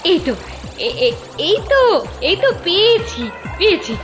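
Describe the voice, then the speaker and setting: Cartoon mother turtle: high, very animated, fretful and protective of her child, music underneath. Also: unhurried, exaggerated voice. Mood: relieved.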